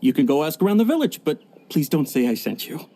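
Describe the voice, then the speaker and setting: A man's voice: fairly high pitch, very animated, quick pace, dark tone. An actor playing a flustered, evasive male tea shopkeeper in a video game, stammering nervously. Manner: stammering, nervous filler-laden speech.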